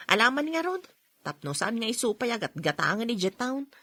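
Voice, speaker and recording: A chatty shopkeeper who teases frankly, in an Ilocano-accented radio drama. Her voice is fairly high and sounds close and dry. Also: sing-song, brisk, bright, forceful tone, no room sound, heavily acted. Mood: frank, teasing.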